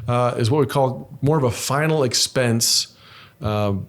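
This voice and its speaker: A man's voice: mid-range voice, a little slow. A middle-aged man, an insurance broker co-hosting a chat, explaining things patiently step by step with examples.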